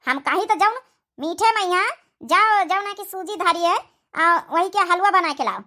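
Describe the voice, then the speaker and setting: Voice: very high-pitched, animated, moderate pace, sharp tone, full character voice. A performer voicing a bossy, scolding older village mother-in-law in an Awadhi comedy cartoon, domineering and sarcastic. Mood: stern, scolding. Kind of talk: rustic comedy cartoon dialogue.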